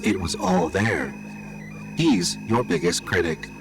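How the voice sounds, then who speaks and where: Man voicing a sinister, calm, low-pitched AI assistant. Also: over music, exaggerated voice. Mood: coldly explaining. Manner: measured, matter-of-fact, unhurried menace. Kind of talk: audio horror fiction acting.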